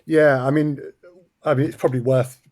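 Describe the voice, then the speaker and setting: A man with a mid-pitched voice, recorded close and dry. He talks as an audio producer and interview guest, explaining his process conversationally. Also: level tone, moderate pace, darkish tone, dry recording.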